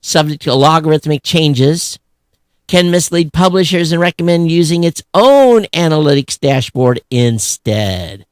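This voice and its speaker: A man's voice: fairly high pitch, expressive, a little slow, clean tone, dry recording. Blunt man co-hosting, dry close sound. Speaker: podcast co-host. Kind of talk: casual opinionated tech news chat.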